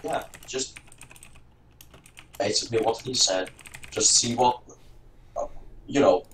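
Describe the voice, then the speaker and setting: A man who runs his own games, talking in a low-key, self-deprecating way. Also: slow pace, fairly bright tone, reverberant, distant mic, slight background noise, rough recording. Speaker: game master.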